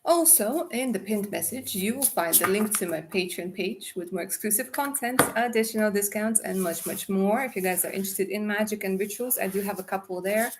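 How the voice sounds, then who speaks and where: A woman's voice: mid-range voice, fairly quick, few pauses, heavy background noise. A woman doing a live tarot reading on a stream, chatty and affectionate, calling viewers darling. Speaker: tarot reader.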